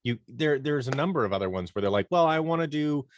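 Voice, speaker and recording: A man talking casually as an interview guest, explaining his ideas in a conversational, animated way. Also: medium pitch, fast, dry recording. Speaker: podcast guest, audio drama producer and performer.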